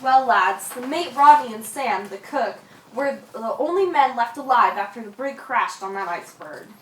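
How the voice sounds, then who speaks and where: In a roomy echo, a little off the mic, a woman plays an old sea storyteller in a radio drama. The voice is fairly high and tells the yarn dramatically. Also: animated, moderate pace, distant mic, a little hiss or hum, close to an everyday voice. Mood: grave, dramatic. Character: old seafarer spinning yarns to young lads. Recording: echoey room, off mic.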